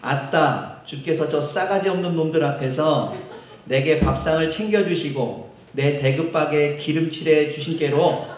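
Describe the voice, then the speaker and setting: A man's voice: a little high, measured pace, close to an everyday voice. A male pastor putting on a Jeolla accent as a folksy man reading a psalm in rural dialect, with a hearty, playful drawl. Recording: echoey church hall.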